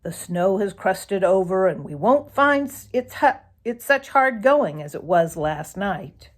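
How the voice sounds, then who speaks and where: A moody young boy fox from a children's storybook, acted out by a woman. He is plaintive, blunt and suspicious, with a pitch that jumps around in slow, drawn-out delivery. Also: very animated. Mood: eager.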